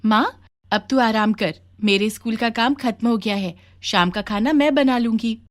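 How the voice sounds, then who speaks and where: A woman's voice: on the high side, normal speed, pitched above the performer's own voice, natural voice. A woman voicing a caring, curious fourteen-year-old village daughter in a children's story, sweet and earnest. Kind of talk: acted dialogue in a children's moral story.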